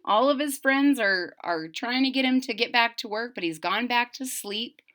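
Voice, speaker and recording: A woman storyteller reading a picture book to children, deliberate and expressive, with simple explanations. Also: fairly high pitch. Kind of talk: expressive read-aloud with simple recaps.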